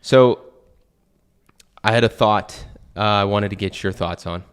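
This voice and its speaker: Earnest young American man, lowish voice. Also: a little slow, smooth. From United States. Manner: earnest, reflective, thinks aloud with many "like"s. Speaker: podcast host and new real estate agent. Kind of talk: casual conversation. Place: Portugal.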